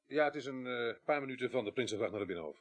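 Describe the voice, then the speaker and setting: Polite, mid-pitched male police inspector played by an actor. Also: lively intonation, rapid-fire, background noise, natural voice. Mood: businesslike. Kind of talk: naturalistic Dutch radio drama acting.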